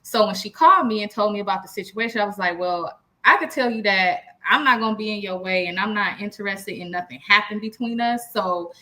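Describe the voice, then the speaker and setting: An American woman with a bright, punchy voice at an average pitch. She co-hosts a casual chat about relationships, telling stories bluntly and emphatically, with plenty of slang. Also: fairly quick, hardly any pauses, low-quality recording. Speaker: podcast co-host.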